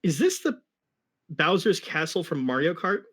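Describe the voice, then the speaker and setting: A man's voice: a little high, big pitch swings, moderate pace, fairly bright tone. A man giving a comic dramatic reading of a fan fiction story, with wry asides.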